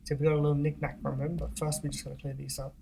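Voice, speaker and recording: Let's-play host, casual rambling commentary, mid pitch, faint background hiss. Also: racing, vocal fry. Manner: relaxed, rambling, frequent ums and laughs.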